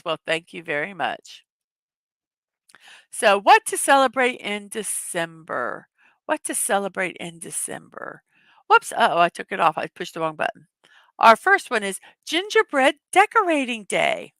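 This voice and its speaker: A middle-aged woman chats through a casual morning livestream, greeting viewers and reading their messages out loud. Her voice has a bright, clear edge that has worn a little hoarse, and the sound is dry and close. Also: mid-range voice, sing-song, a little slow, dry recording. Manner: chatty, reads chat messages aloud, laughs often. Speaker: livestream host.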